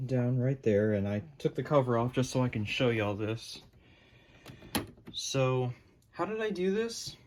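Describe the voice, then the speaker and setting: A man's voice: mid-range voice, a little mellow. A man giving a casual, informal hands-on DIY demonstration as a hobbyist. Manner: unhurried, informal. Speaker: hobbyist presenter. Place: his room at home. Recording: clearly noisy home recording with clicks.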